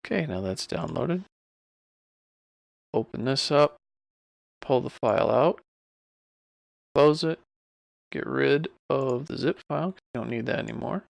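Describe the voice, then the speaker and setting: Very creaky, casual man giving a tutorial. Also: medium pitch, no room sound, clean studio sound. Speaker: streamer giving a software tutorial. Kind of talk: casual screen-recorded tutorial.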